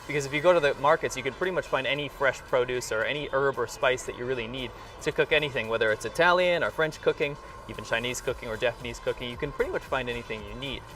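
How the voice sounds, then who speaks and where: A man's voice: mid-range voice, fast, hardly any pauses, a bit bright, recorded from a distance, faint music behind. A man with an American accent hosting a casual vlog, speaking in an enthusiastic, emphatic way. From rural New York, United States. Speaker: vlog host. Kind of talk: casual vlog talk to camera.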